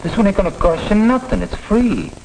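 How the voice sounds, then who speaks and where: An actor playing a sly young drug pusher in a 1950s educational film, with a coaxing, colloquial delivery. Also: male voice, high voice, animated, a little fast, vocal fry, a little mellow, low-quality recording. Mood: sly, goading. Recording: old film dialogue, faint noise.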